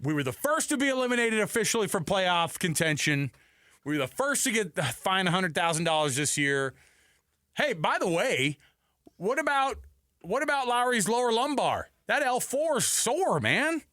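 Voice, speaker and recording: An American man hosting live sports talk radio, casual, animated and slangy, on a close studio microphone. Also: high voice, normal speed, no echo. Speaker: sports radio show host.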